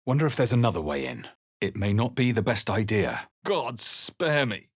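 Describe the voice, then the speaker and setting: A man voicing a grave, wry adventurer hero in a fantasy video game, with measured, theatrical delivery. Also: lowish voice, sing-song, breathy edge, no room sound, studio quality. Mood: wry, guarded. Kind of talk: dramatic fantasy game narration and character barks.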